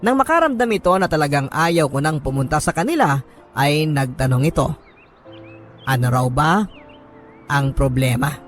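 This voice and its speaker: Filipino man narrating, higher-pitched, over music. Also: expressive, normal speed, pauses often, fairly bright tone. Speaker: radio host reading listeners' stories. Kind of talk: dramatic scripted first-person story narration. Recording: music bed under the voice. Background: background music.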